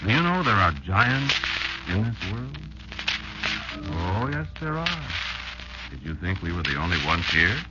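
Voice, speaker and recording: Old insect patriarch, vintage radio drama, low-voiced, ominous, deliberate, very animated intonation, dull archival sound. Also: male voice, heavy background noise. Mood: bitter, defiant. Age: older. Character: old insect elder warning his child of giants. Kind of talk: 1940s radio drama monologue. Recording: muffled old 1940s radio recording, loud music.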